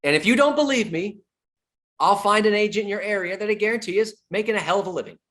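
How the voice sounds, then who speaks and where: A man's voice: sits high, fast talker, a bit bright. A middle-aged man giving a sales training talk as a real estate coach, emphatic, repeating his key points and joking with the audience.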